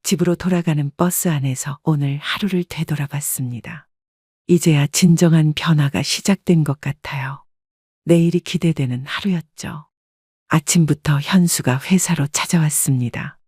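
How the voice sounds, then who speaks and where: A woman reading a scripted first-person story as an audiobook narrator, in a measured, polite storytelling manner. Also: deep voice, a little mellow, husky, no echo, studio quality.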